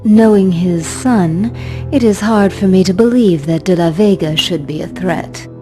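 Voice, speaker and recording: An actress playing a cool, seductive spy in a video game cutscene, measured and teasing. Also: female voice, on the low side, music in the background, close to an everyday voice. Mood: cool, poised.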